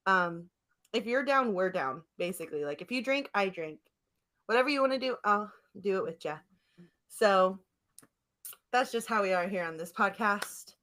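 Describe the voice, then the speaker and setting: A woman's voice: mid-range voice, lively intonation, moderate pace, a bit bright. A woman co-hosting a podcast in casual chat, effusive and giggly in her delivery. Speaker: podcast co-host. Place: remote internet call.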